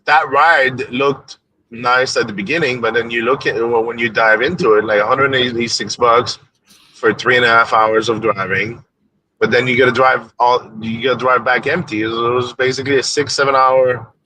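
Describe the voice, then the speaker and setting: Canadian male co-host, bright voice, halting, casual. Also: medium pitch, fairly even intonation, low-quality recording. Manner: halting, restarts often. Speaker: rideshare podcast co-host. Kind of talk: casual live-stream chat.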